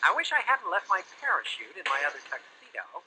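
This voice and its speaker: A man playing a suave cartoon fox secret agent in a spy parody game, with dry, deadpan delivery. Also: sits high, fast talker, a little hiss or hum, heavily acted. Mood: deadpan, wry.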